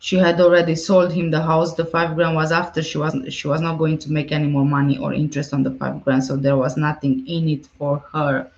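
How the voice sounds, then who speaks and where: A woman's voice: low voice, level tone, brisk, few pauses, a little mellow, reverberant, dull audio, slight background noise. A woman hosting a casual livestream, reading viewer comments aloud and answering them conversationally. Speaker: livestream host. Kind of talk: casual livestream chat about a case.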